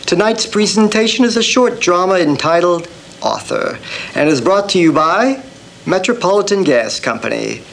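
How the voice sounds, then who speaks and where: An actor playing a formal, showmanlike announcer presenting an old-time radio theater and its sponsor. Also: male voice, sits high, big pitch swings, moderate pace, gravelly, a bit bright, exaggerated voice. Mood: showy, upbeat.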